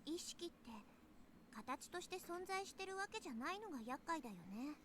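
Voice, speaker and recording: A girlish, straightforward young woman from a visual novel. She talks frankly in a very high voice, heard through clearly noisy game audio. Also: animated, very fast. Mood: musing. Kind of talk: Japanese visual novel voice acting.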